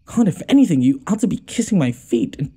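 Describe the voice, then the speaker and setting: Mellow, husky awkward noble lord played by a man. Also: on the high side, big pitch swings, quick pace. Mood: exasperated. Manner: hesitant, stammering, sighing. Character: gentle young noble lord, awkward, smitten, apologetic. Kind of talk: intimate boyfriend audio roleplay.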